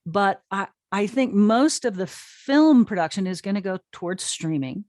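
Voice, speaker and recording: A woman's voice: mid-range voice, expressive, normal speed, a little mellow, no room sound, clean studio sound. A woman giving conversational interview answers in an enthusiastic, emphatic and informal way. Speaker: interview guest, audio drama streaming co-founder.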